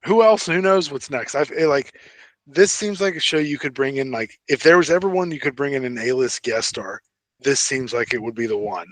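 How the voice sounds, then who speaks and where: A man's voice: mid-range voice, animated, normal speed, a bit bright, no echo. Informal man co-hosting, very dry sound. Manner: informal, opinionated. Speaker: podcast co-host. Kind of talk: casual fan discussion.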